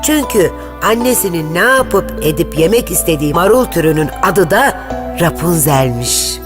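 Brief: woman narrator for a cartoon fairy tale, lowish voice, very lively lilt, music clearly behind. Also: moderate pace. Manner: lilting storytelling, lively intonation.

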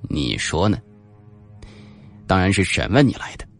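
Man acting a cold, very deep-voiced policeman. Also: sing-song, unhurried, vocal fry, fairly bright tone, faint music behind, exaggerated voice. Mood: cold, hostile. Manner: cold, curt, bullying. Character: cold, hostile policeman in his forties, interrogating.

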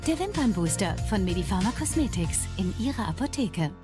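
A woman's voice: medium pitch, sing-song, fairly quick, loud music behind the voice. A woman reading scripted advertising narration as a commercial voice-over.

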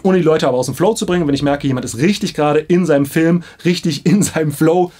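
A man's voice: a little high, quick pace, warm tone. A man in his thirties explaining casually to camera as an audiobook director, with an enthusiastic, slangy manner. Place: small indoor studio control room. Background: background music.

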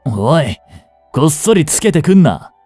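Brash young male delinquent played by a man for audio drama: dark, mellow tone, swinging intonation, defiant and teasing. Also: on the high side, big pitch swings, measured pace, no room sound, faint music behind. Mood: irritated, gruff. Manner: brash, slangy tough-guy talk, drawling. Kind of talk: Japanese audio drama acting, one-sided dialogue to the listener.

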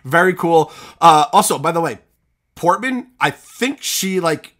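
Middle aged guy on a video call, bright kinda high voice, cracks jokes and swears a lot. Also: expressive, measured pace. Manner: joking, heavy swearing. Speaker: podcast co-host. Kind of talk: casual podcast chat.

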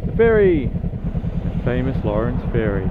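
A man's voice: medium pitch, very animated, moderate pace. Joking man riding a motorbike. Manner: joking, chuckles often. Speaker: motovlogger host. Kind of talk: casual helmet-cam commentary. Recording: muffled, loud wind noise.

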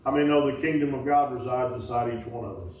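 A middle-aged man, preaching a sermon in a folksy call-and-response style, with sudden loud bursts. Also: mid-range voice, fast talker, slight background noise. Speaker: pastor. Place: small wooden-walled church sanctuary. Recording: echoey church from a distance.

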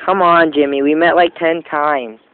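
A man's voice: a little high, steady tone, normal speed, smooth, dry recording. A man making a casual prank phone call in a pushy, insistent, teasing way, on a muffled phone recording. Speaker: prank caller.

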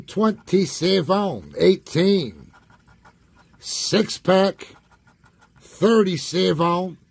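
A man's voice: sits high, no echo. A man with a scratchy voice doing profane, wry commentary while scratching lottery tickets at a table indoors. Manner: profane, wry, reads numbers slowly with nicknames.

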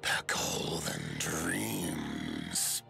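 An actor playing a huge, ancient giant chieftain in a video game, speaking gravely and formally in a rumbling voice. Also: male voice, huge pitch swings, drawn-out words, pushed and bright, soft background music, full character voice. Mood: solemn, storytelling. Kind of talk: video game cutscene acting.

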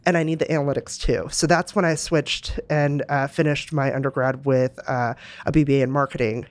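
A woman's voice: rumbling low, steady tone, brisk. A middle-aged woman from Romania, answering interview questions in a reflective way with many fillers and restarts. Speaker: guest, music company executive.

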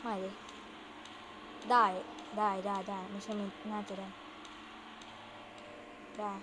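A woman's voice: medium pitch, little pitch movement, takes it slowly. A woman giving casual commentary as she hosts a game playthrough. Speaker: gaming video host. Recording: clearly noisy home recording.

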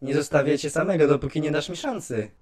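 A man playing an excitable, chatty skeleton artist guardian who is prone to brooding, in an animated fan dub with expressive delivery. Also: a little high, fast, close to an everyday voice. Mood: friendly, encouraging.

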